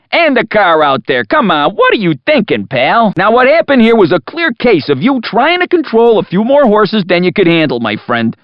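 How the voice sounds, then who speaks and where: An actor playing a cocky, folksy, wisecracking radio announcer who does showy, teasing race commentary in a video game. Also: male voice, high-pitched, dry recording. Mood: scolding, teasing. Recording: dry, slightly dull compressed game audio.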